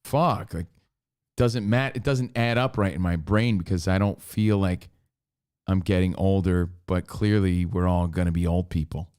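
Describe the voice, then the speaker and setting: A man in his forties with a fairly low voice, co-hosting a podcast. He talks in a blunt, loose, casual way and swears freely as he tells stories. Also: fairly even intonation, moderate pace, a little mellow, smooth. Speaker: podcast co-host. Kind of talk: casual chat.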